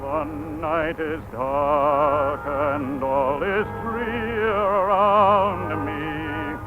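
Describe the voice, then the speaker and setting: A merry young monk in a 1940s radio play. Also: male voice, on the high side, steady tone, drawn-out words, music in the background. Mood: solemn. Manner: operatic singing, full-voiced. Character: merry young male monk singing carols. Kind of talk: 1940s radio drama song. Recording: tinny 1940s radio broadcast with orchestra.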